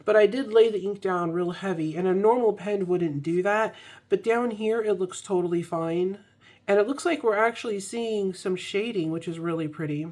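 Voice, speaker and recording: A woman's voice: medium pitch, normal speed, a little mellow. A young woman recovering from a cold, chatting casually with ums and restarts as she reviews an ink. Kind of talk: casual product review vlog.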